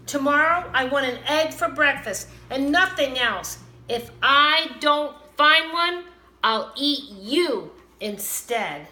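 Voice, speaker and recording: A woman voicing a small, hungry, demanding male gnome in a children's storybook, loud and exclamatory. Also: high-pitched, animated, slow pace, heavily acted. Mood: angry, threatening. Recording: roomy, echoing, some background noise.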